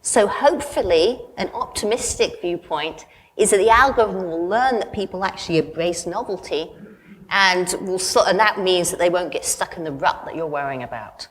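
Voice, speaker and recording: An upbeat woman professor answers on a panel, her voice echoing through a reverberant hall over a faint hiss. She explains research findings clearly and optimistically. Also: lowish voice, normal speed. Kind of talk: panel discussion. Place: conference hall.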